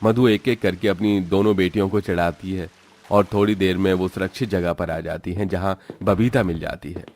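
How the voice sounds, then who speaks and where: A man's voice: sits low, fairly even intonation, normal speed, darkish tone. A man narrating a scripted, dramatic story.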